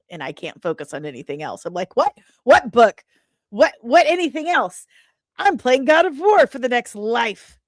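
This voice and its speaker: A woman hosting a casual livestream, talking in an animated, rambling and self-deprecating way. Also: mid-range voice, dry recording, clean studio sound. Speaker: livestream host.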